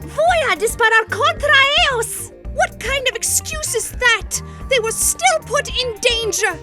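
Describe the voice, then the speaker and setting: A woman's voice: extremely high pitch, lively intonation, measured pace, music in the background. A woman voicing a protective, easily angered spider-woman foster mother with a scolding manner in a comic dub. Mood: furious. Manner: indignant, scolding, slips into Spanish.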